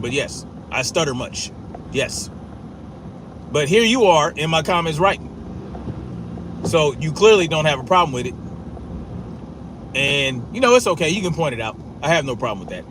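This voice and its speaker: Man, fairly high voice, stutters, blunt and profane; noisy outdoor recording. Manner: forceful, profane, repetitive, with a stutter. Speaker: commentator. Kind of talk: blunt opinion monologue.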